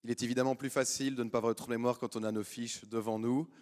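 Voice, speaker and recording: Brisk flat-voiced male host. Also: mid-range voice. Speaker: competition host.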